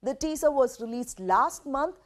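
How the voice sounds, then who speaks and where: A woman with an Indian accent presents a scripted news explainer. Her voice sits fairly high and swings widely in pitch. She delivers each point in a measured way, leaning on key words for emphasis. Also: animated.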